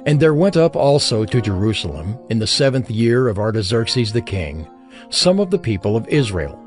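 A man narrating an audio Bible in a measured, solemn storytelling manner. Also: on the low side, very animated, darkish tone. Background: music.

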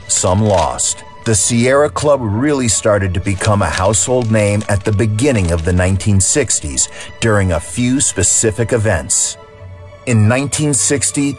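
A man narrating a scripted history documentary. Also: lowish voice.

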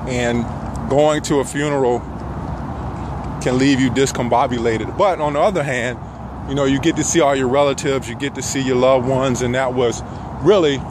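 A middle-aged man giving an earnest motivational talk in measured phrases with frequent pauses, walking outdoors with a phone at arm's length. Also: mid-range voice. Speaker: self-help vlogger. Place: outdoors on a path among trees. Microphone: phone held at arm's length. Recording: noisy outdoor phone recording, traffic ambience.

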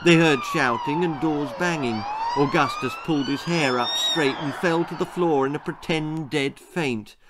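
A man in his sixties reading a children's story aloud in an expressive, theatrical way. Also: on the high side, normal speed, few pauses, heavy background noise. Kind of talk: dramatic children's story narration. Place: small book-lined room indoors.

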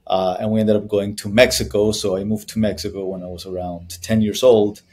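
Man, thirties, from Colombia, low voice, mellow tone, video call, halting thoughtful delivery. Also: level tone, slight background noise. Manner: thoughtful, many fillers and restarts. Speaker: guest, brand founder. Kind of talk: conversational interview answers. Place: small room with bare wall.

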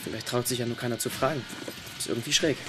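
An actor plays a young man studying medicine in a radio crime drama. He is defiant and flippant, with a sullen, sarcastic delivery at a middling pitch. Music plays behind his voice. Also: steady tone, quick pace, natural voice. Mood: cool, curious. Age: young adult.

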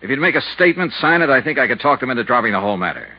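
An actor playing a cool, shrewd insurance investigator in a 1950s radio drama, terse, measured and matter-of-fact. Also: male voice, mid-range voice, sing-song, breathy edge. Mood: cool, persuasive. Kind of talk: 1950s radio drama, hard-boiled narration and dialogue. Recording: muffled 1950s radio recording.

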